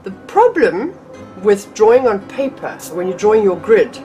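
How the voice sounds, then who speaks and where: A woman's voice: mid-range voice, sing-song, normal speed, warm tone, faint music behind. A middle-aged woman teaching drawing from her home art room, chatty and a little nasal from a cold, with frequent ums and restarts. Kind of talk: casual art tutorial.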